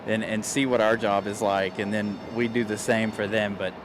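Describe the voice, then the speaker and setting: A man hosting a livestream, chatting in a relaxed way and asking his guest questions. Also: fairly low pitch, normal speed, background noise. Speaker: livestream host. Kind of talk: casual livestream chat.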